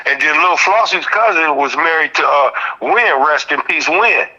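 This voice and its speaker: A man's voice: fairly high pitch, lively intonation, moderate pace, sharp tone, lo-fi sound. An American man recalling events in a casual interview, emphatic and repetitive, with plenty of slang. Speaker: podcast guest.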